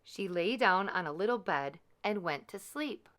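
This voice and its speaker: Slow, clear woman reading a storybook. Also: mid-range voice, animated, fairly bright tone. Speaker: storyteller reading a picture book aloud. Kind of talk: expressive scripted children's storybook narration.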